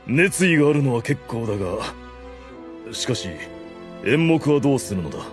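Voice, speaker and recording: A man playing a grave, commanding undead ruler with pompous, measured phrasing in an anime audio drama. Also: medium pitch, animated, soft background music, lightly acted. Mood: measured, doubtful.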